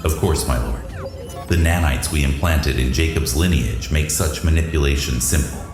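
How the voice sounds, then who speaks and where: A man's voice: extremely low pitch, normal speed, echoey room, music in the background, pitched below the performer's own voice. A man voicing a formal, obsequious lieutenant who serves an alien overlord in a science fiction audio drama. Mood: deferential, calculating. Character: deferential, scheming male lieutenant to an alien overlord.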